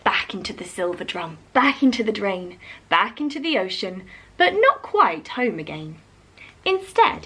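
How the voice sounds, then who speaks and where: A woman's voice: medium pitch, slightly rough, rough recording. A woman storyteller reading a rhyming picture book aloud expressively for young children. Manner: animated, addresses young listeners.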